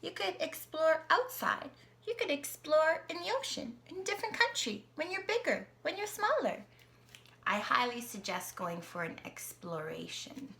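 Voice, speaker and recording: A young woman reads an alphabet picture book aloud to her niece, playful and animated, often dropping to a whisper. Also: high-pitched, moderate pace, gravelly, a little hiss or hum. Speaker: aunt reading to her niece.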